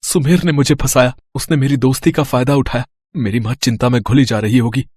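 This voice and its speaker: A man's voice: medium pitch, fairly even intonation, a little fast, darkish tone, slightly rough, dry recording, studio quality, close to an everyday voice. An actor playing a poor, honest, simple young man who pleads earnestly, in a cartoon moral story. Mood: anguished. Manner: earnest, pleading, talks aloud to himself.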